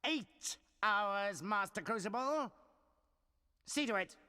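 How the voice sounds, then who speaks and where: An actor playing an imperious, demanding villainous bishop in a fantasy game, cold and commanding. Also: male voice, high voice, animated, takes it slowly, bright, forceful tone, smooth, full character voice. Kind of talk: game voice acting. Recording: long hall reverb.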